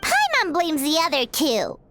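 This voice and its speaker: A woman voicing a tiny, childlike, excitable fairy companion in an animated video game, exclamatory and quick to get indignant. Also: high voice, over music, exaggerated voice. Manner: refers to self in third person, exclamatory. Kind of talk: animated game character voice acting.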